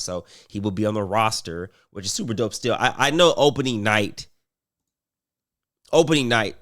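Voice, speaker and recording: A man with a slightly low voice who is getting over a cold talks loosely about basketball. He rambles, stops and restarts, and fills the gaps with ums and uhs. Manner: relaxed, rambling, many fillers and restarts. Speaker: streamer commenting on basketball. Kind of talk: casual commentary.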